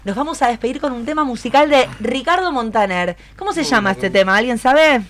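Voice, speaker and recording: A woman's voice: a little high, lively intonation, brisk, a bit bright. A woman with an Argentine accent hosting a live radio interview, encouraging her guests and asking them questions. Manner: encouraging, asks many questions. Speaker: radio show host.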